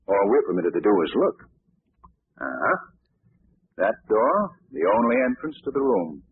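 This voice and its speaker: An actor playing a suave, wry gentleman sleuth in a 1940s radio drama, with a gravelly voice. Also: on the low side, moderate pace, exaggerated voice. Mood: calm. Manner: wry and calmly probing. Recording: tinny old radio broadcast, dry.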